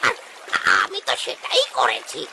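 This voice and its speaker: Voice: measured pace, natural voice. A performer voicing a nervous, fawning crow scout in a cartoon, stammering, pleading and eager to please. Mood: defensive. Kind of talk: cartoon story dialogue. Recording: loud background noise.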